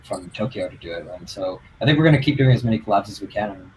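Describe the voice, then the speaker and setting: A man's voice: on the low side, lively intonation, fast, a little mellow, slight background noise, low-quality recording. A man from Toronto, a band member, chatting casually and rambling with many fillers. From Toronto, Canada. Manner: rambling, lots of "like" and restarts. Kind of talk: casual livestream chat.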